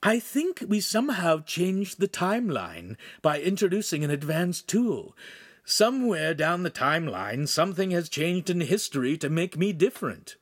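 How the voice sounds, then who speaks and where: A man's voice: a little high, very animated, normal speed, no room sound, studio quality, pitched above the performer's own voice. A man voicing a nervous, quirky shape-shifting imp who explains things earnestly in a storybook reading. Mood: dejected, anxious.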